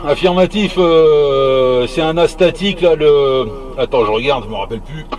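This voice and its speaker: A man's voice: on the high side, moderate pace, noisy background. A man chatting casually over CB radio as a hobbyist, searching for words and repeating himself.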